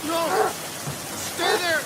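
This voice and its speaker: Desperate prospector, strained very high calls, drawn out slowly, loud water noise. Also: male voice, full character voice. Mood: desperate, urgent. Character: desperate gold prospector shouting for his dog. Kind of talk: radio drama acting. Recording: distant, amid loud rushing water effects.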